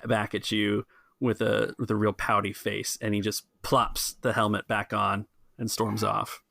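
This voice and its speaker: Creaky low-voiced man running a tabletop game. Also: level tone, measured pace, pushed and bright, no room sound. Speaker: game master. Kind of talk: improvised narration of a tabletop game.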